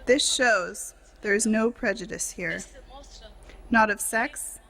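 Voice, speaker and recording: A woman reading a translated tribute and speech as a voice-over, in measured, formal phrasing with short clauses. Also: medium pitch, a bit bright.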